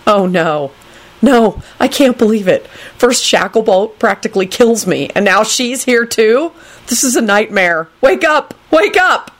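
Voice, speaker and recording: A woman's voice: medium pitch, steady tone, extremely slow, long pauses, warm tone, pitched above the performer's own voice. A woman voicing a sullen, flustered young man in an audiobook, resentful, exasperated and dramatic. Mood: desperate, angry. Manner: muttering, blurting, exasperated.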